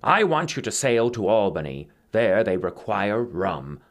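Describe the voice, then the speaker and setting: Man playing a demanding, animated shipping boss. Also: on the low side, measured pace. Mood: commanding. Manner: commanding, old-fashioned phrasing. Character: demanding, impatient shipping company director.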